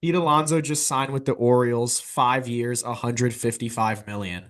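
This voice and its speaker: A man's voice: medium pitch, fairly even intonation, normal speed, a bit bright. A man co-hosting a sports podcast, reading out the news and running a phone call. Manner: upbeat, reads out news and steers the call. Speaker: podcast co-host. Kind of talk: casual sports chat.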